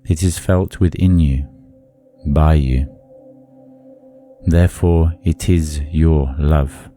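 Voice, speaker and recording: A man leading a guided meditation in a soothing, deliberate manner, leaving long pauses between phrases. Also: a bass voice, fairly even intonation, mellow, soft background music.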